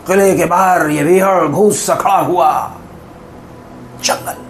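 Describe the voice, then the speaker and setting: An actor playing a world-weary middle-aged king in a poetic, declamatory theatrical monologue with long dramatic pauses. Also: high-pitched, steady tone, soft background music. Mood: melancholy, searching.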